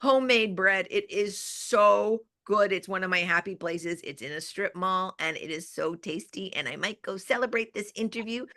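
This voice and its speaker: An energetic woman talks as a podcast guest, punching her points and repeating key phrases. Her voice is bright and crisp at a middle pitch. She speaks with eager, emphatic energy. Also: normal speed, few pauses. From Los Angeles area, US. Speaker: guest, PR and marketing coach. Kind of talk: casual interview chat.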